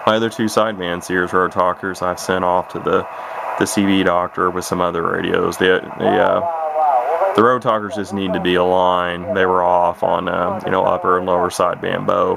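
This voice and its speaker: A man with a low-pitched, gravelly voice talks through a gear demonstration in a casual, rambling way. He sounds like a folksy, plain-spoken hobbyist making it up as he goes. Loud static and hiss from a radio run behind his voice. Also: fairly even intonation, moderate pace. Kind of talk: casual unscripted product demonstration. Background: CB radio static and squalling.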